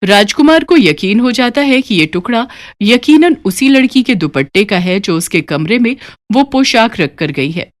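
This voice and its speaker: A woman narrating a scripted animated moral story in an expressive storytelling manner. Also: fairly high pitch, normal speed, few pauses.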